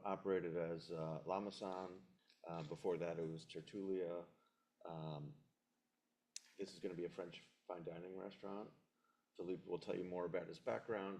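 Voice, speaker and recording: Applicant's rep, man, very deep voice, measured and businesslike. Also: darkish tone. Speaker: applicant's representative. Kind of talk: formal presentation at a meeting.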